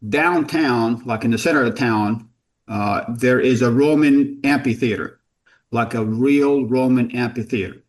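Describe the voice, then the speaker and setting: A man's voice: mid-range voice, unhurried. A middle-aged man from Jordan, a company founder as podcast guest, telling stories in a rambling, self-deprecating way with many false starts. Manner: rambling, self-deprecating, repeats words when starting sentences. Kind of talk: casual storytelling interview.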